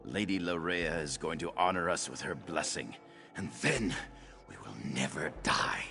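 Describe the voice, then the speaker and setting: A man's voice: rumbling low, lively intonation, a little slow, creaky, sharp tone, faint music behind, exaggerated voice. A man playing a rapturous, fawning nobleman in a video game, effusive, theatrical and lofty in his delivery. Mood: giddy welcome.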